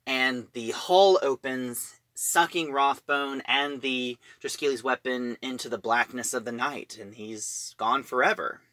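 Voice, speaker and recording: A man hosting a casual spoken review, retelling a story in a halting storyteller's manner. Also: medium pitch, bright, forceful tone, dry recording. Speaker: reviewer, host of an audio drama review show.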